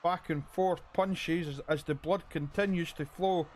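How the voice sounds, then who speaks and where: A man with a hoarse voice commentating a video game wrestling match and hosting his channel, with an announcer's style. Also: steady tone, measured pace, darkish tone, slight background noise. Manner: hype announcer, booming when calling the finish.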